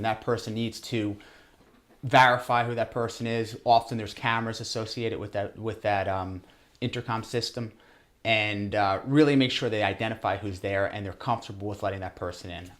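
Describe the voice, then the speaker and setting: A man answering as a security trainer in an interview, explaining things haltingly and repeating himself. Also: on the low side, fairly bright tone.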